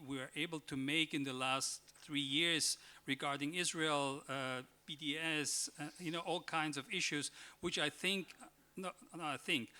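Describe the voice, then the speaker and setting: A man addresses a conference panel in a somewhat high, bright voice. He hesitates a lot, filling gaps with "uh" and "like" and restarting his sentences. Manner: hesitant, many fillers and restarts. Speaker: panelist, member of parliament.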